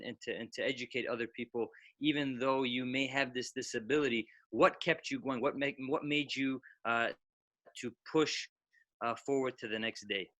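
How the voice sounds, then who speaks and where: Bright, dry-sounding male moderator. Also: mid-range voice, no room sound. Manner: repeats himself, rephrases often. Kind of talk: asking a question on an online panel.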